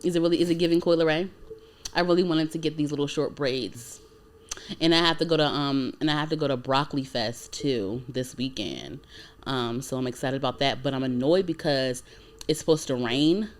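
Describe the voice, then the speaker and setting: A woman's voice: low-pitched, moderate pace. Woman, late twenties, podcast host, casual chatty talk, many fillers. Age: 20s. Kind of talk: casual chat.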